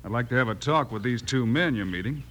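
An actor playing a firm, decisive marshal hero in an old-time radio western, businesslike in manner. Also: male voice, medium pitch, expressive, fast, fairly bright tone, lightly acted.

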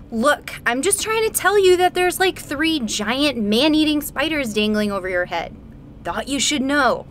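Woman as a shrill, high-pitched fairy sidekick. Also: animated, unhurried, a bit bright, a little hiss or hum. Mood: sarcastic, sulky. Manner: shrill repeated cries, then sarcastic nonchalance. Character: nagging, sulky little fairy guide companion. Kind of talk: comedic audio drama acting, video game parody.

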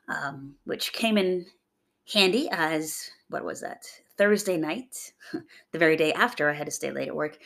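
A young adult woman hosting a podcast, raspy from a chest cold, talking in a chatty, upbeat, rambling way. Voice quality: gravelly, low voice. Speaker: podcast host. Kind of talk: casual motivational monologue.

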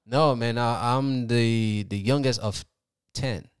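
A man's voice: mid-range voice, smooth, dry recording, studio quality. Man, 30s, reflective podcast guest, resonant voice. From Liberia. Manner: reflective, unhurried storytelling. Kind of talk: casual interview.

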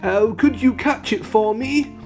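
A man as a high-voiced storybook princess. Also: a little slow, a little mellow, faint music behind. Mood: pleading. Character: gentle princess, young wife, pleading yet defiant. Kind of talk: children's storybook narration.

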